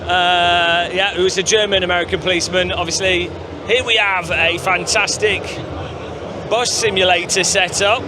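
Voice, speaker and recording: A man's voice: sits high, fairly even intonation, normal speed, background noise. A male gaming channel host chatting casually and upbeat, with lots of fillers, on a walkthrough of a busy gaming convention floor.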